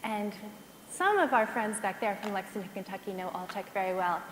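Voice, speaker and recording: A woman's voice: medium pitch, brisk, a bit bright, a little hiss or hum. American-accented woman in a reverberant hall. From Ireland, raised in the United States. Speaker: panelist. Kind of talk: panel talk. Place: conference hall.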